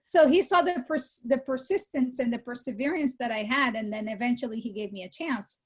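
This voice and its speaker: A woman in her thirties from Brazil, a real estate executive, telling her story as an interview guest in a lively conversational way, correcting herself as she goes. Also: on the high side, fairly quick, breathy edge. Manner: animated storytelling with laughs and self-corrections. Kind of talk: casual interview chat.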